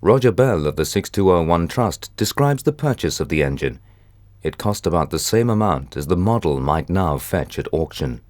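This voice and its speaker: A man's voice: deep voice, lively intonation, moderate pace, darkish tone, dry recording, clean studio sound. A man reading scripted narration for a documentary.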